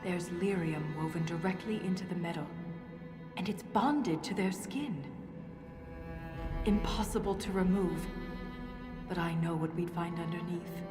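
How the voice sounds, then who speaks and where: An actress plays a dwarf woman scholar in a fantasy game, with a slightly low voice. She speaks earnestly and with measured, solemn resolve. The sound is roomy and echoing, with music underneath. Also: natural voice. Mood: troubled. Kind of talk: video game dramatic dialogue. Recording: roomy echo, music behind.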